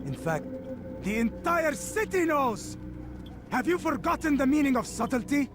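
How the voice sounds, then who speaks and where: A scolding rival assassin. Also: male voice, extremely high pitch, big pitch swings, moderate pace, noisy background. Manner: exasperated, reproachful. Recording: game cutscene over loud music score.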